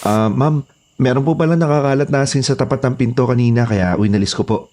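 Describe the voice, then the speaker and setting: A man's voice: medium pitch, fast talker, darkish tone, natural voice. A man with a Filipino accent playing a respectful, eager new houseboy in a horror audio drama. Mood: earnest. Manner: respectful, deferential. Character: polite, eager, deferential new male houseboy.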